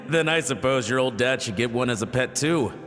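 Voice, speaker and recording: A man playing an easygoing, indulgent village father in a dubbed anime game, relaxed and gently teasing. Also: mid-range voice. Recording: long reverb, music behind.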